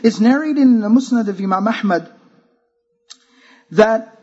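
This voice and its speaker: Preacher with a husky voice. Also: male voice, sits high, lively intonation, unhurried, darkish tone. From Texas. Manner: casual, joking and earnest, talks openly. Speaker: speaker at a religious conference. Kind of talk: sermon to a youth-focused audience. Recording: muffled, dull lo-fi sound.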